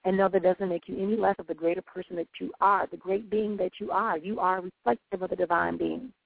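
A woman's voice: fairly low pitch, fairly even intonation, brisk, gravelly, no echo. A woman hosting a call-in radio show, talking in an encouraging, uplifting, preachy way. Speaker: host. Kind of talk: call-in talk radio, motivational. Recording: dry, tinny phone-like line.

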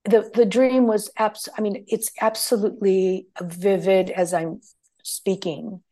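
A middle-aged woman whose first language was Greek tells her story in an unhurried, measured way. She wanders through her thoughts and stops to restart often. Her voice sits at an ordinary pitch. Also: fairly even intonation, dark tone. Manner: rambling, many restarts and fillers. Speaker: guest. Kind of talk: conversational storytelling.

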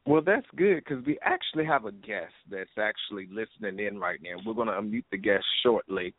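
Gravelly male host, tinny phone-quality audio. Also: lowish voice, sing-song, a little fast, no room sound. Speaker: radio show host. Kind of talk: casual chat, hosting interview.

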